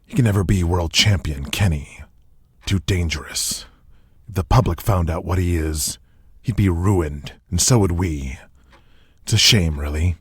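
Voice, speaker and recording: A man voicing a worried, calculating wrestling company boss in an audio drama, hesitant, hushed and grave. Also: sits low, unhurried, breathy edge, no room sound, studio quality, voice pushed down, full character voice. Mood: grave, resigned. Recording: music behind the voice.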